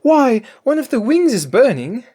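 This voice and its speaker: A woman's voice: sits high, very animated, moderate pace, warm tone, slightly rough, voice pushed up, exaggerated voice. A storyteller voicing a cheeky, greedy female cook from a storybook, merry, emphatic and full of excuses for herself. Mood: merry, exclaiming. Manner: emphatic, exclaiming. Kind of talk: storybook character voice.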